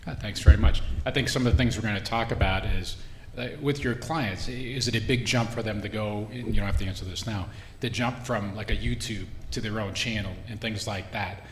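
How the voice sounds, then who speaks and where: Male panel moderator, lowish voice, casual stop-start delivery, echoey hall. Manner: casual, halting, repeats words. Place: conference hall. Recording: echoey room, faint hum.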